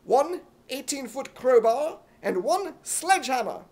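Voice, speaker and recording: A man's voice: very high voice, sing-song, a little slow, darkish tone. American guy reading comedy narration solo, putting on a british accent, radio show style. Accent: imitation British. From United States. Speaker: solo performer narrating a script.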